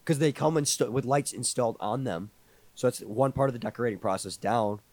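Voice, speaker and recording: A hoarse man in his twenties, co-hosting a podcast in casual, joking chat. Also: mid-range voice, a little mellow, dry recording. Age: 20s. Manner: quick, easygoing, joking. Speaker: podcast co-host.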